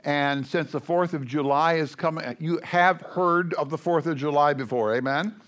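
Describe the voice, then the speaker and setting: An older man preaching to a congregation in a loud, folksy, joking way, rambling with many fillers. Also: on the high side, moderate pace.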